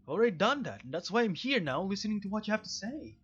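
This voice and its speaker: A man's voice: sits high, sing-song, quick pace, a bit bright, slightly rough, dull audio, faint music behind, voice pushed up, full character voice. A man playing a troubled, defensive male detective, brooding through visual novel dialogue.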